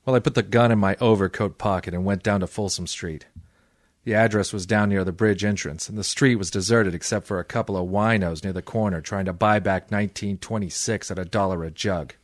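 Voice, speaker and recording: An actor playing a tough, cynical, wisecracking private detective in old-time radio style, deadpan and sardonic. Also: male voice, on the low side, fairly quick, no room sound, clean studio sound, close to an everyday voice. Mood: wry, deadpan. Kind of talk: old-time radio detective acting with first-person narration.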